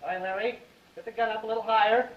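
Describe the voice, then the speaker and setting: A man's voice: high-pitched, steady tone, quick pace, lightly acted. A man acting a droll newspaperman with a wry, mock-poetic, grandiose manner in a 1930s film. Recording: noisy dull 1930s film soundtrack.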